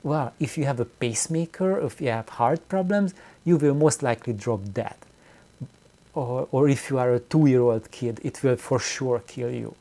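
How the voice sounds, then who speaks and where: A middle-aged man giving an unscripted, reflective explanation to viewers, haltingly and with words repeated for emphasis. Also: mid-range voice, mellow. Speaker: audio hobbyist video host.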